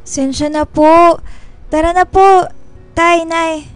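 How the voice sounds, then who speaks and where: A woman's voice: very high voice, fairly even intonation, slow pace, no echo, soft background music. A young woman playing a dutiful, troubled daughter and little sister in a Filipino radio drama. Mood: apologetic, eager.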